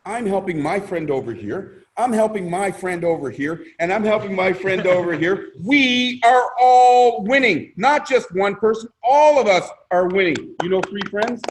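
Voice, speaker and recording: A male presenter with a high voice delivers a motivational sales pitch. He is emphatic and theatrical, building his points through repetition and questions put to his listeners. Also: expressive, normal speed, few pauses, a little hiss or hum, rough recording. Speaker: presenter pitching a crowdfunding scheme.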